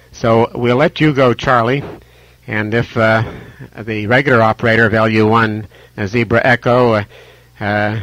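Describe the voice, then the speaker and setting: A man's voice: medium pitch, fairly bright tone, no echo, poor audio. A courteous man working as an amateur radio operator, relaying calls in procedural radio talk. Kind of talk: radio contact, procedural chat. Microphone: ham radio microphone.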